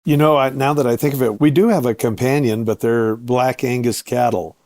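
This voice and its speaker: A man explaining things as an interview guest, telling stories in a relaxed, measured, folksy way. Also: medium pitch. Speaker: guest, vineyard owner and grape grower.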